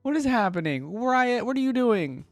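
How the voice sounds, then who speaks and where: A man hosting a reaction video, commenting casually and thinking aloud in a halting, musing way. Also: extremely high pitch, big pitch swings, no room sound, faint music behind.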